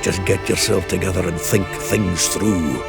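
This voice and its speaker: A low, gravelly dwarf talks. He is blunt, earthy and hearty, a fantasy game warrior with music clearly behind him. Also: fairly even intonation, measured pace. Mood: blunt urging. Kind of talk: video game voice acting.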